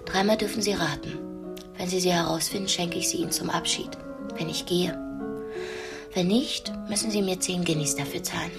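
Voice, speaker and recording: An actress playing a proud, cultured young captive in a radio play, with a breathy, often whispery voice and an intense, articulate manner. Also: female voice, on the low side, fairly even intonation, moderate pace, music in the background. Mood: superior, teasing. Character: proud, cultured young female art student held captive. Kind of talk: radio drama dialogue and monologue.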